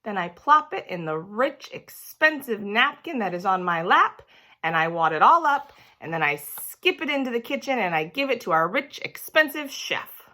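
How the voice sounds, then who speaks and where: A woman's voice: mid-range voice, big pitch swings, moderate pace, pushed and bright. A woman voicing a snooty, boastful rich little schoolgirl in a storybook, prissy and showy. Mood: smug.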